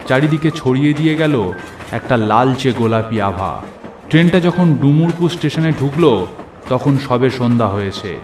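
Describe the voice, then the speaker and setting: A man's voice: mid-range voice, expressive, normal speed, roomy sound, noisy background. A man narrating a horror story in the first person, in a literary, suspenseful storytelling manner. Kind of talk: dramatic scripted horror narration.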